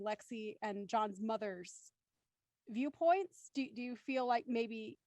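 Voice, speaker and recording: A woman's voice: fairly high pitch, dry recording. A woman hosting a conversational discussion, thinking aloud as she works her way into questions. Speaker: host moderating a post-show discussion.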